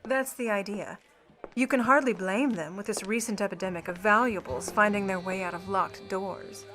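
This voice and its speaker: An actress playing a haughty, droll 1950s Hollywood starlet with a sly streak in a radio play. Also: female voice, mid-range voice, normal speed, a bit bright, faint music behind, close to an everyday voice. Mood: coy. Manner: arch, droll, witty.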